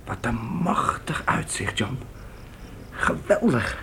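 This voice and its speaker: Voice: mid-range voice, very animated, takes it slowly, vocal fry, sharp tone, slight background noise, full character voice. An actor in a Dutch radio play voicing a keen, observant boy on a pioneer trek, marvelling aloud. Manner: marvelling, exclaiming.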